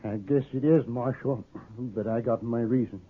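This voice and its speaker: An actor playing a weary, grimly determined old American farmer in a 1950s radio western, speaking plainly and haltingly. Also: male voice, medium pitch, natural voice. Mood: grimly resigned. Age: older. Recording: muffled, narrow-band old radio recording.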